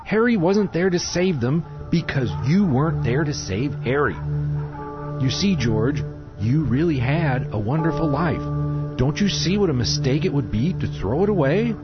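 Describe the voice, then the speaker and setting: A gentle male guardian angel as a man acts him instructs earnestly in a raised, very expressive voice. It is old film drama, muffled, with music behind. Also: on the high side, normal speed, close to an everyday voice. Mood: solemn. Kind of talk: old Hollywood-style dramatic acting. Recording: dull lo-fi audio with loud music.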